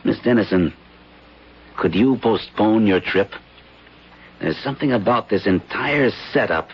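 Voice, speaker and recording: A cautious intelligence officer speaks earnestly in a low, husky voice. The old radio broadcast sounds muffled and dull. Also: male voice, lively intonation, a little slow, heavily acted. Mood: worried. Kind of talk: 1970s radio drama acting.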